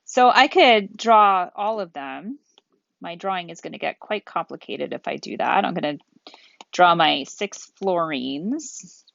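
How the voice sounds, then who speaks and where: A woman with a lowish voice lectures at a very unhurried pace. She explains things methodically, one step at a time, as if working at a board. The sound is dry and slightly dull. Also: lively intonation, gravelly, a bit bright, dry recording. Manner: methodical, deliberate, step-by-step phrasing. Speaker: chemistry lecturer. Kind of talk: explanatory lecture, thinking aloud while drawing.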